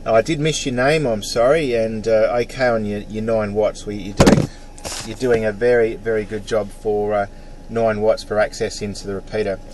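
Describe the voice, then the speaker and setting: A man's voice: lowish voice, fairly even intonation, moderate pace, very noisy. A middle-aged Australian man in a casual ham radio chat, matey in his phrasing and practised with phonetic call signs. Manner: mate-peppered, spells call signs phonetically. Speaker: amateur radio operator.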